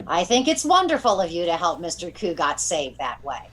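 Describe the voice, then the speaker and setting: Woman playing a wry, lively housemaid. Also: fairly low pitch, moderate pace, slight background noise. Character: Katie, wry, practical housemaid, deferential but sardonic. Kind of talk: 1940s radio comedy acting.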